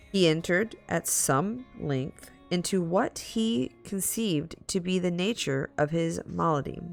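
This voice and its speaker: A woman streamer with a slightly low, creaky voice reads aloud for her audience online. Her delivery is slow and halting, catching on longer words. Soft music plays faintly behind her. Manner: halting, stumbling over long words. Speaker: livestream host. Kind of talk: dramatic story reading with casual chat asides.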